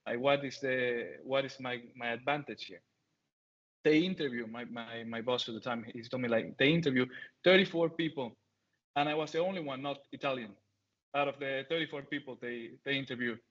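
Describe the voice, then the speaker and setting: A man's voice: medium pitch, brisk, a bit bright. Venezuelan man with a Spanish accent, hesitant talk, full of fillers and restarts, muffled video call sound. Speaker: guest speaker. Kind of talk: online talk.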